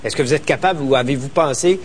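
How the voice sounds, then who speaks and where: A man hosting a call-in radio show, jumping in with quick remarks. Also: medium pitch, slight background noise. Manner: quick, interjecting. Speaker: radio host.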